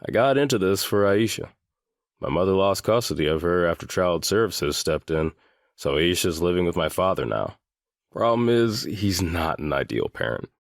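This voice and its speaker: A man playing a guarded seventeen-year-old boy in a full-cast audiobook, speaking in a deliberate, matter-of-fact way. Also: low-pitched, expressive, creaky, no room sound, clean studio sound. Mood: frank, determined. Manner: deliberate, matter-of-fact, swears now and then.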